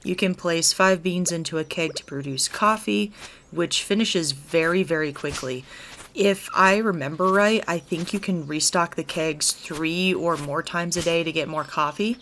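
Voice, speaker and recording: A woman's voice: lowish voice, unhurried, a bit bright, slight background noise. A woman hosting a gaming video, giving chatty, explanatory let's play commentary in a casual style. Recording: background music under the voice. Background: game music.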